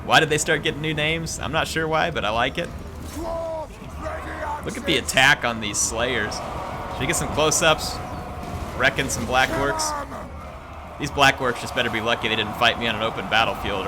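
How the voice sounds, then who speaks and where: A man's voice: mid-range voice, moderate pace, pauses often, noisy background. A man hosting a gaming channel, giving playful, jokey live commentary on a battle and chatting with his viewers.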